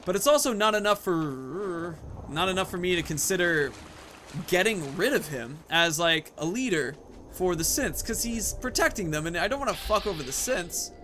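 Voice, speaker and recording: A man's voice: high voice, lively intonation, normal speed, pushed and bright, slight background noise. A man hosting a gaming video, giving casual commentary and thinking aloud about his moves and choices. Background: video game music.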